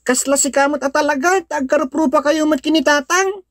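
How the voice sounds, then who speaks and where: A woman's voice: sits high, a little fast, dry recording, clean studio sound, close to an everyday voice. A woman with an Ilocano accent playing an eager, talkative young child in a radio-style drama. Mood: eager, innocent.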